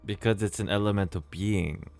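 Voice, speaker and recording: A woman's voice: extremely low pitch, moderate pace, vocal fry, darkish tone, soft background music. A woman giving casual let's play commentary as a game streamer, musing aloud to herself.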